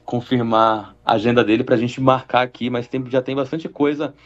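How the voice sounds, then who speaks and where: A man, a double bassist, talking as a radio guest in a rambling, enthusiastic way with many fillers. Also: fairly even intonation, normal speed, dull audio. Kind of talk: radio interview.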